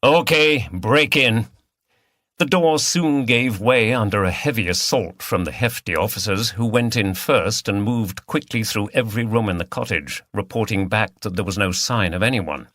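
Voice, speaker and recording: A man's voice: lowish voice, moderate pace, few pauses, a bit bright, dry recording, clean studio sound. A man reading a mystery novel aloud as a scripted audiobook narration.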